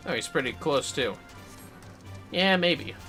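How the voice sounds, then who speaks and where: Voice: mid-range voice, animated, a little slow, pushed and bright, faint music behind. A streamer with an androgynous voice chatting casually and playfully while playing a game, muttering to themselves and swearing freely. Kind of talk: casual livestream gaming commentary.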